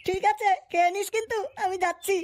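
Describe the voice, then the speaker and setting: A performer playing a scheming, foul-mouthed, lovesick young man in a comic cartoon dub, talking crudely and excitably. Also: very high-pitched, fast talker. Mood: sly, gloating.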